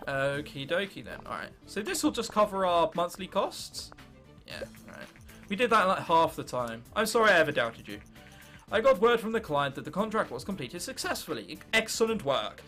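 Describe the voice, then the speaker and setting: A man's voice: on the high side, bright, forceful tone. A young man of about nineteen with an English accent, giving casual, jokey gaming commentary and reading game text aloud. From England. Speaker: gaming video host.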